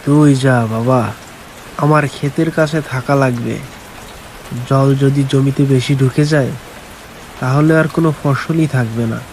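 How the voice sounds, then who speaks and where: A man playing a worried, despairing village farmer and father in an animated folk tale, with a plaintive, lamenting manner. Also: medium pitch, a little slow, pauses often, lightly acted, low-quality recording. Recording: faint hiss and rain noise.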